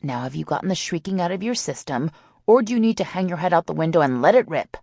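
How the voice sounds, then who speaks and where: Audiobook role: cheeky sarcastic teen girl, voiced by a woman, low-toned, fry at the edges, dry close sound, mock-grand teasing. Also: lively intonation, fast, dark tone, no room sound. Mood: wry. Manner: wordy, teasing, mock-haughty. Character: brash, sarcastic, mischievous Southern teenage girl.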